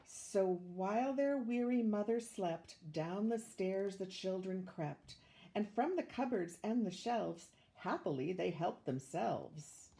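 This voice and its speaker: An older woman reading a rhyming children's story aloud in an expressive, measured way. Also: mid-range voice, creaky. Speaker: storybook reader, retired teacher.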